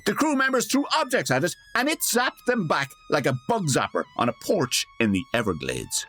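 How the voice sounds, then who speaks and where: A man's voice: a little high, huge pitch swings, normal speed, over loud music. A man playing a wry, colloquial narrator delivering scripted comic narration for a radio parody.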